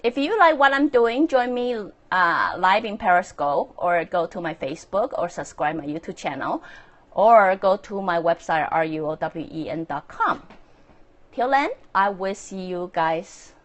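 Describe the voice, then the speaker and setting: A woman with a Chinese accent, speaking English as a second language, hosting a casual, earnest solo talk with many fillers and soft laughs. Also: fairly low pitch, animated, unhurried. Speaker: podcast host and author.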